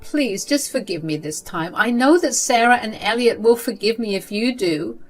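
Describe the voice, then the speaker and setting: A woman's voice: lively intonation, moderate pace, soft background music. A woman voicing a whiny pleading old grandmother. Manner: whiny, wheedling, high-pitched.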